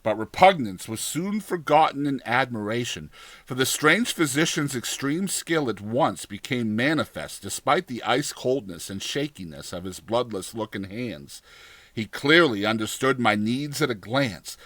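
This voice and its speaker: A man reading a gothic horror story as a dramatized audiobook, with deliberate, theatrical delivery and formal, dramatic pauses. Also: mid-range voice, animated, fairly bright tone, dry recording. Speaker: audiobook narrator.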